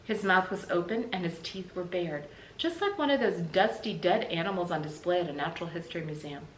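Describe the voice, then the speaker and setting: A woman's voice: lowish voice, animated, fairly quick, far from the mic. A woman with an American accent reading a children's book aloud as a teacher would. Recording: roomy, noticeable background hiss.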